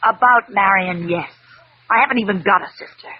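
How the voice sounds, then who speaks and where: A woman's voice: mid-range voice, fairly quick, lightly acted. A dull, faintly noisy vintage radio recording of a defiant noir dame, played by an actress. She is slippery and dramatic, stressing her words like a crime serial femme fatale. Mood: earnest, defensive. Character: slippery, defiant female liar, a gangster's girlfriend. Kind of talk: 1940s-50s radio drama acting.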